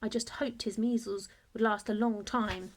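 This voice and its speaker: A woman reading a children's story aloud in expressive narration, like a teacher to a class. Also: fairly high pitch, moderate pace.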